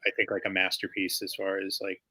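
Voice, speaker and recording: A man in casual conversation as an interviewed writer, thinking aloud haltingly and circling back over his phrases. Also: deep voice, completely flat delivery, sharp tone, slightly rough. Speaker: comic book writer being interviewed. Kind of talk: casual chat.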